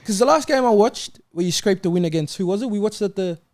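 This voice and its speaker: A man's voice: high voice, lively intonation, fast talker, a little mellow. A man in a casual football debate as a panellist, argumentative and insistent, repeating his points.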